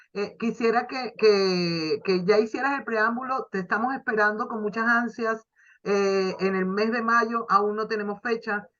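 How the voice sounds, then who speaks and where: A woman hosts a radio interview with a bright, forceful voice, effusive and friendly, often stumbling over and restarting words. The sound is dull and lo-fi. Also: mid-range voice. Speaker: radio host. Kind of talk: interview hosting.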